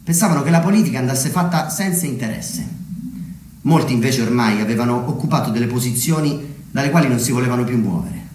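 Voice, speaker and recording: A man performing a scripted theatrical monologue as narrator, telling his story deliberately with dramatic pauses and emphatic swings. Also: medium pitch, animated, slight background noise. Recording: very echoey, distant, under loud plucked guitar music. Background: plucked guitar music.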